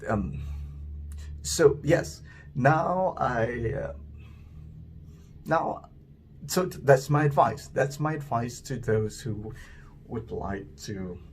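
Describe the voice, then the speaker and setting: A man from the Philippines with a Filipino English accent that sits between American and British, talking reflectively to himself, with careful enunciation, restarts and pauses. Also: medium pitch, darkish tone, a little hiss or hum. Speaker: vlogger. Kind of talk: reflective solo monologue.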